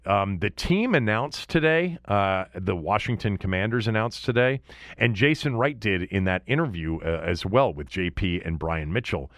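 A man hosting a sports show alone, talking casually and conversationally in halting phrases with asides and repetition. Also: lowish voice, dry recording, studio quality. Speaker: sports podcast host. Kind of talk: casual sports commentary monologue.

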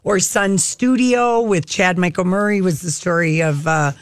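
A woman's voice: on the low side, moderate pace, smooth, dry recording, clean studio sound. An enthusiastic woman hosting a casual talk show, chatting about television.

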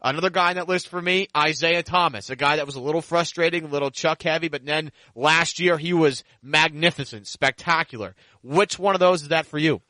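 A man's voice: a little high, fairly quick, sharp tone, no room sound. A man hosting a sports podcast, talking emphatically and piling up superlatives. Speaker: podcast host. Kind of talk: sports talk and host-read ad.

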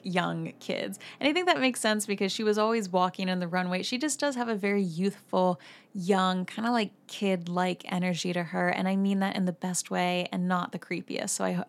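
A young woman hosting a gossip podcast, chatty and loose, full of quick asides. Her pitch sits in the middle of the range, and her talk is casual and breezy. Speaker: podcast host.

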